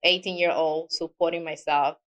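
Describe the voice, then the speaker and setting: Low-voiced woman with a Spanish accent. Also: moderate pace. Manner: animated storytelling, non-native grammar slips. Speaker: guest, mortgage loan officer. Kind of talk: casual interview conversation.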